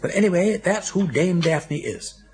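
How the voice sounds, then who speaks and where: An actor playing a witty, teasing older English aristocrat in a British radio comedy, chatty and urbane with a wry theatrical manner. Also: male voice, sits high, lively intonation, unhurried, darkish tone. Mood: mock warning.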